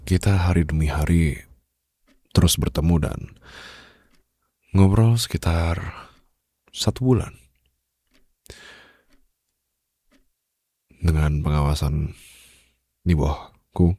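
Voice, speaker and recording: Casting: male actor as tender mafia boss lover, Indonesian accent, extremely low husky register, unhurried hushed delivery for intimate ASMR roleplay. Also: no echo, studio quality, heavily acted. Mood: confiding, smitten. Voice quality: deep, gravelly, husky. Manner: slow, soft, hushed, pausing, gently reassuring. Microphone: close studio condenser microphone.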